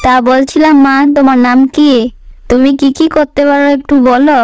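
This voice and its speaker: A woman's voice: high voice, level tone, normal speed, darkish tone, natural voice. A woman voicing a polite, approving parent of a prospective groom in a comic cartoon. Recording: faint noise and clicks behind.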